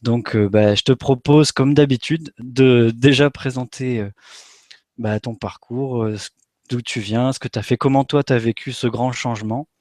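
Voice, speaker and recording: A man hosting a live online conference, introducing his guest in a quick, friendly way with fillers. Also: mid-range voice, fairly even intonation, darkish tone, no room sound, clean studio sound. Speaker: host.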